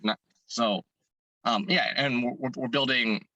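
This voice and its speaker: A man with a hoarse voice, a fitness content creator answering interview questions earnestly, thinking aloud and restarting his sentences. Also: mid-range voice, unhurried, pushed and bright, no echo, muffled recording.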